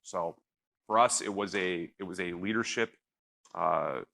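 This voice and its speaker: A man on a conference panel answering a question in an earnest way, talking in quick bursts and checking in with "right?". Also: low voice, gravelly, sharp tone. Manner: earnest, fast bursts, repeats points with "right?". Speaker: panelist from a risk and audit team. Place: conference stage.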